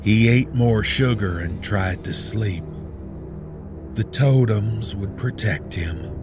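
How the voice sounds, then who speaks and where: A man narrating a horror story in a measured, grave, ominous delivery. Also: fairly low pitch. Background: background music.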